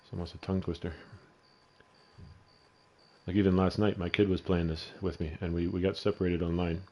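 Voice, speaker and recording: Canadian guy, middle-aged, low dark voice, rambling gameplay host who swears freely. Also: flat delivery, brisk. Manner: rambling and profane. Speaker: gaming video host. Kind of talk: casual gameplay commentary.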